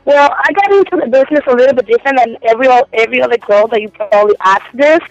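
Frank, giggly young woman, Spanish accent, calling in over a muffled phone line, very dry sound, soft music beneath. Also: a little high, very fast, no echo. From Cuba. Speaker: phone-in guest. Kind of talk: casual radio interview. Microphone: telephone.

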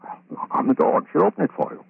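An actor in an old-time radio horror play, voicing a sly, genial old murderer in a husky, fussy, hemming way. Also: male voice, rapid-fire, a little hiss or hum, lightly acted. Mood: falsely soothing, amused. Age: older. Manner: fussy, hemming, repeating himself, chuckling. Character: sly, genial old madman who murders the people he hires. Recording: tinny band-limited vintage radio recording.

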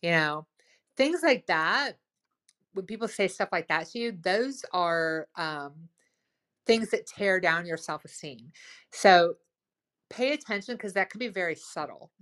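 A woman hosting a casual advice chat. She talks loudly and with enthusiasm, and fills her speech with "like" and "right?". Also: on the low side, expressive, a little slow. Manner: loud, boisterous, enthusiastic; lots of "like" and "right?". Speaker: host.

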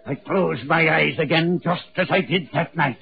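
A man's voice: on the high side, moderate pace, gravelly, phone-quality sound, soft background music. Ghostly sea captain, haunted and merciless, intones his lines in repetitive, solemn phrases. It is 1940s radio horror acting, heard through a thin, band-limited old recording. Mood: brooding, ominous.

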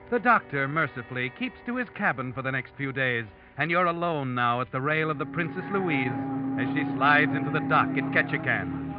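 An actor playing a knowing, ominous narrator who addresses the listener in suspenseful, ironic old-time radio style. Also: male voice, on the high side, lightly acted. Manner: ironic, suspenseful, deliberate phrasing. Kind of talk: dramatic second-person radio narration. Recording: muffled vintage radio recording, music behind. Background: dramatic music.

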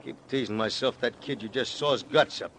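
An actor playing a tough, weary boxing-gym boss with punchy streetwise delivery in an old-time radio crime drama. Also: male voice, mid-range voice, moderate pace, fairly bright tone, lightly acted. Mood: wry, subdued. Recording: slightly noisy vintage radio recording.

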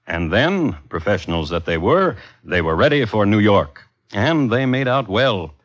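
A man's voice: lowish voice, sing-song, unhurried. A man narrating an old-time radio drama as a wry storyteller with dry humour. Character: wry male storyteller of a crime tale. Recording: dull vintage radio recording.

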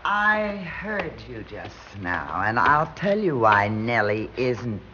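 A woman's voice: extremely low pitch, big pitch swings, takes it slowly, heavily acted. An actress playing a prim, indignant lady tenant, scolding and sarcastic, in a classic radio drama. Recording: dull, noisy old radio recording.

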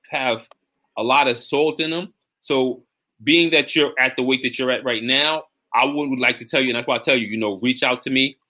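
Forties male fitness coach, bright tone, casual stream talk, stammers and repeats himself. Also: mid-range voice. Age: 40s. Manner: animated, repeats words, gives shout-outs. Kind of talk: casual live stream chat.

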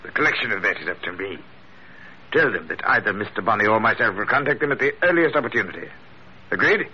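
A man's voice: lowish voice, lively intonation, fast talker, husky. A man in an old radio drama playing a courteous, shady gun dealer with ornate, faintly menacing politeness. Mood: polite menace. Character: smooth-talking, shady male gun dealer, courteous and florid. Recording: muffled vintage radio recording, faint noise.

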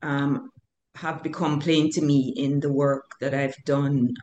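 A woman's voice: very deep voice, flat delivery, a little mellow, highs cut off. Jamaican woman, echoey room. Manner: measured, hesitant with many fillers. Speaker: author, guest. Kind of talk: thoughtful interview answers. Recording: echoey room with faint noise.

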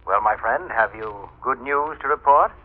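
An actor playing a soft-spoken, calculating killer in a 1940s radio thriller, politely taunting, with menacing gentleness. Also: male voice, mid-range voice, normal speed, natural voice. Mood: mock-polite. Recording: muffled vintage radio recording.

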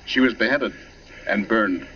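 An actor playing a wry, talkative doctor who relishes grim tales in a 1940s radio drama. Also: male voice, lowish voice, a little slow, sharp tone. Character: wry, talkative male poisoning expert called Doc. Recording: noisy, muffled 1940s radio recording. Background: train-like vehicle noise.

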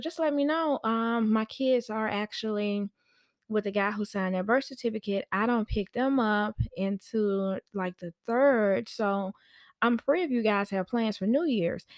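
A woman telling a personal story on a podcast in a chatty, animated, slangy way, acting out conversations as she goes. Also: mid-range voice, no room sound, studio quality. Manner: informal slangy speech. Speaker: podcast host and nanny.

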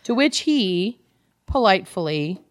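A woman's voice: medium pitch, big pitch swings, slow pace, no echo. An American woman co-hosting a podcast, chatting casually about books in a blunt, joking, irreverent way. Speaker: podcast co-host. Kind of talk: casual book club chat.

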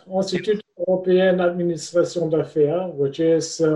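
A man answers as a guest professor with confident, dry humor. His voice is a touch higher than average and mellow in tone. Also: poor audio. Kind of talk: interview answers.

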